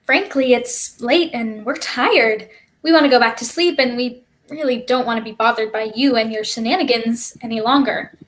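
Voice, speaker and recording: Woman doing a high voiced kid astronaut, flustered, argues back, quick witted, stage reading. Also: close to an everyday voice. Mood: defiant. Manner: flustered yet argumentative. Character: defiant, quick-witted child spacewalker stowaway. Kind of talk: theatrical script reading over a livestream. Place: remote online stream.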